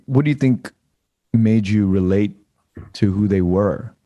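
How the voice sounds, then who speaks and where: A man asking casual interview questions in a tentative, halting way, repeating words as he goes. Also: lowish voice, dark tone, highs cut off.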